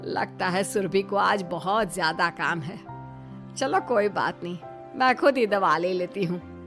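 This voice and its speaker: A woman's voice: lowish voice, unhurried, music in the background. A woman voicing an elderly, chirpy mother-in-law in a Hindi story cartoon. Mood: cheerful, musing. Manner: talks through uncontrollable laughter.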